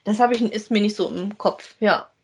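Woman with a medium-pitched voice. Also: normal speed, breathy edge. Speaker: podcast co-host. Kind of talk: casual chat about audio dramas.

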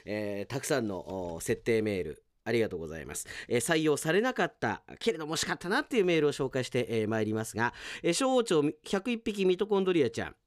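A male announcer hosts solo radio talk and reads listener mail. His chatty delivery swings widely in pitch, recorded close and dry in a studio. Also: very animated, fast, hardly any pauses, no echo.

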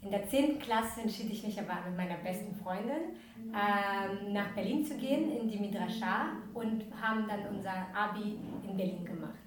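A woman's voice: mid-range voice, moderate pace, background noise. A young woman from Ukraine, a podcast guest, talking casually with many fillers and restarts. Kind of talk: casual interview talk. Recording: echoey room, distant from mic.

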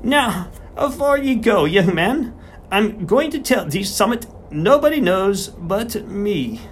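A man's voice: high voice, very animated, slow, a little hiss or hum, pitched above the performer's own voice, full character voice. A man voicing a small, elderly, beery retired smallholder, who talks in a conspiratorial, rustic way. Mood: secretive. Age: older. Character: wily beery little old male horse doctor.

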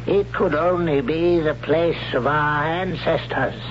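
A stern, zealous old high priest. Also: male voice, slow pace. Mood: solemn. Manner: solemn, commanding, preaching. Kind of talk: 1970s radio drama acting. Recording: dull lo-fi old radio broadcast with hiss.

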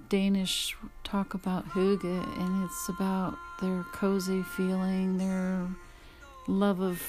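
A middle-aged American woman talking informally and personally about her own paintings, halting, reflective and emotional. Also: medium pitch, darkish tone. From United States.

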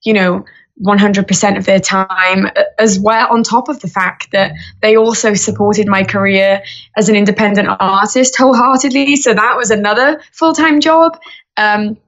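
A woman's voice: medium pitch, steady tone, moderate pace, a bit bright. A young woman from Wales, a singer-songwriter telling her story earnestly and steadily in a podcast interview. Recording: muffled lo-fi audio.

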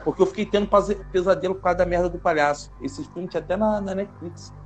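A man chatting as a podcast co-host, animated and emphatic, often repeating himself. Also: high voice, fast. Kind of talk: casual chat.

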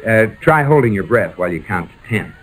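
A man's voice: lowish voice, sing-song, a little fast. A man playing a teasing, long-suffering husband in a 1940s radio comedy, wry and theatrical. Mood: weary. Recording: noisy 1940s radio recording.

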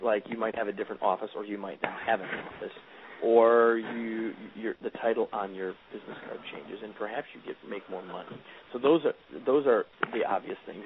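A man interviewed as a guest author, explaining earnestly and often restarting his sentences. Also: mid-range voice. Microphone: phone. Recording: tinny phone line with faint hiss.

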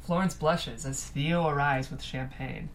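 Low-pitched woman narrating stage directions. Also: unhurried, slight background noise. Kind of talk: scripted narration.

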